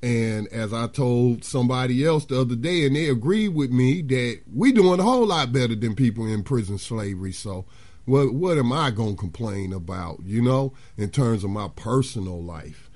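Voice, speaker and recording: An older man co-hosting a radio show in casual, folksy chat, his voice slightly hoarse as if he is losing it. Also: medium pitch, animated, normal speed, a little mellow. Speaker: radio co-host.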